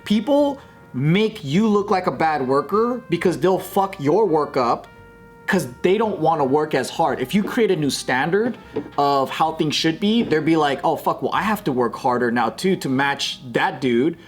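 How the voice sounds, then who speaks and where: A man's voice: fairly high pitch, lively intonation, moderate pace, faint music behind. Casual man telling a story, swearing freely. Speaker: talk show commentator. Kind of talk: casual group banter, storytelling.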